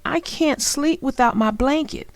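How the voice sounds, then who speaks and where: Woman voicing an anxious, pleading little storybook child, higher-pitched. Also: unhurried. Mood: worried, glum.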